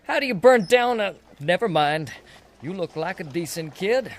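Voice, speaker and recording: A man's voice: high voice, lively intonation, normal speed. An actor playing a scheming, resentful villain in an audio drama, pompous and unctuous in his delivery. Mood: slyly persuasive. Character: scheming, resentful villain, flattering courtier turned dark warlord. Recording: echoey hall reverb with background noise.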